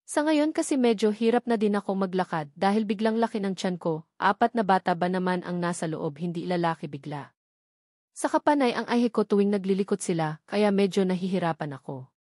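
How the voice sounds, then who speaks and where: A woman's voice: medium pitch, a little fast, darkish tone, dry recording, clean studio sound. A woman with a Filipino accent reading a first-person novel as a scripted narration. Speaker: audiobook narrator.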